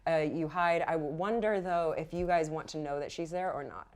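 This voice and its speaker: A woman's voice: low voice, moderate pace, a little mellow. A woman game master narrating and explaining rules off the cuff in an encouraging way. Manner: encouraging, affirming.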